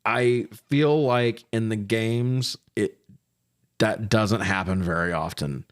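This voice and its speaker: A man with a fairly low voice chats through a film recap as a podcast co-host. His delivery is casual and animated, slipping into slangy swearing and comic exasperation. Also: slow. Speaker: podcast co-host reviewing movies. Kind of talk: casual profane comedic movie recap chat.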